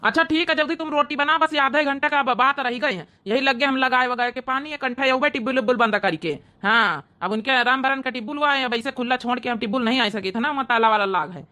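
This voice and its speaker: A busy cartoon farmer husband, voiced by a woman, rattles along quickly in a bright voice. The delivery is practical and hurried, with a rustic Awadhi accent. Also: sits high, pitched below the performer's own voice. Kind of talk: comedy cartoon character acting.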